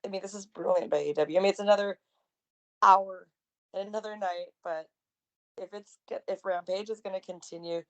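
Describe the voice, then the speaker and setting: A woman with a slightly raspy voice, co-hosting a wrestling podcast in enthusiastic, chatty conversation. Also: medium pitch, steady tone, brisk, fairly bright tone, muffled recording. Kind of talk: casual wrestling recap chat.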